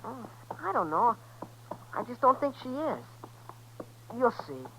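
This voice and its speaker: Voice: mid-range voice, very animated, unhurried, sharp tone, full character voice. A performer playing an earnest, stubborn young boy in a 1950s radio Western. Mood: eager. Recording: noisy vintage 1950s radio recording.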